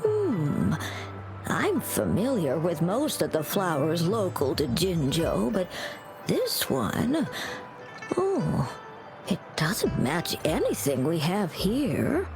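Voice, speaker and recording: A woman's voice: on the low side, huge pitch swings, full character voice. A woman playing a kindly, modest old grandmother florist in a game, speaking fondly and without hurry. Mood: pondering. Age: older. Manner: affectionate, unhurried storytelling. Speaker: flower shop keeper. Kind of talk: scripted game dialogue. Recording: music behind the voice.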